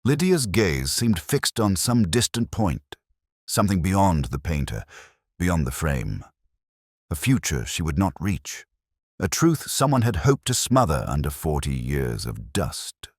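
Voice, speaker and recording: A man narrating a scripted first-person detective mystery in a solemn, literary, measured way. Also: sits low, no room sound, clean studio sound.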